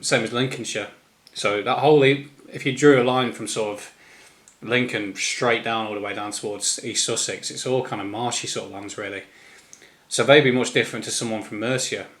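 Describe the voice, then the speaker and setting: A man with an English East Midlands accent giving an informal, unscripted opinion monologue in a rambling, halting manner. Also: fairly low pitch, a bit bright. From East Midlands, England. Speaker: solo commentator.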